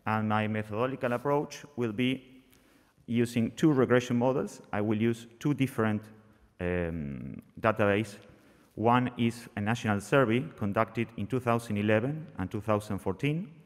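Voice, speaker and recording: Young man at a desk mic, slightly low voice, earnest academic talk that keeps restarting, long hall reverb. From Argentina. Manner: halting, frequent restarts, plain and earnest. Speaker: conference presenter, PhD researcher. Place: conference hall, seated at a panel table, masked. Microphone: desk microphone on a stand.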